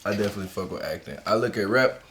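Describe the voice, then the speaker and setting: Deep-voiced young man, loose and profane. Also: racing, a bit bright, a little hiss or hum. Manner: loose, profane, slangy talk. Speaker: host. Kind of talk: casual interview chat.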